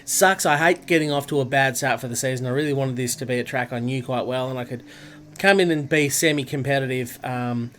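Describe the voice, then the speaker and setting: A man's voice: medium pitch, brisk, a bit bright, a little hiss or hum. An Australian man with a stuffy, nasal voice from a cold, chatting casually with viewers while streaming a racing game. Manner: chatty, greets viewers by name. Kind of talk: casual live-stream chat over gameplay.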